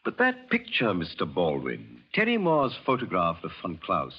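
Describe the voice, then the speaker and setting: An actor in a 1940s radio drama playing a formal, declamatory judge presiding over a tribunal. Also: male voice, low-pitched, huge pitch swings, moderate pace, slightly rough, heavily acted. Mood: formal, measured. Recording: noisy, dull vintage radio recording.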